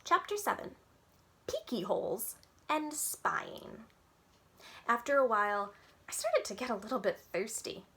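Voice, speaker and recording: A woman reading a children's book aloud as a storyteller, with expressive, childlike emphasis in a first-person narration. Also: a little high, unhurried, long pauses.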